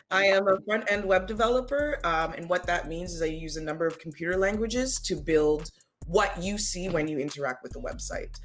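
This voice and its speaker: Caribbean woman in her 30s, lowish voice, chatty and open, faint music under it. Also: fairly bright tone. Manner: candid storyteller, easy laughs. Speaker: guest, front-end web developer. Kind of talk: casual interview chat.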